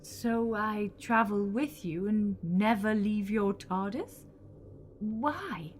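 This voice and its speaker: Woman acting a slow, haughty renegade time lady. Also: mid-range voice, a little hiss or hum, lightly acted. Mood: wary, affronted. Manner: cold, haughty, dramatic. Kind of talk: audio drama acting.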